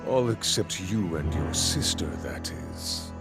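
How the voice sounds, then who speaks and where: An actor playing a menacing, calculating warlord who speaks with slow, formal courtesy in a video game cutscene. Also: male voice, low-pitched, lively intonation. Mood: coldly courteous. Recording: loud music under the voice.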